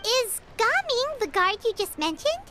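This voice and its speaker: A woman's voice: extremely high pitch, big pitch swings, measured pace, background noise, exaggerated voice. A woman voicing a tiny, bubbly female fairy-like companion in a video game, who talks about herself in the third person. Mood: chirpy. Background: game music.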